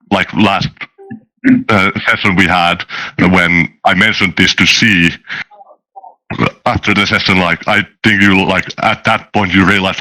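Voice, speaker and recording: Man, very deep, forceful bright tone, halting, casual podcast talk. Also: poor audio. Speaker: tabletop game designer.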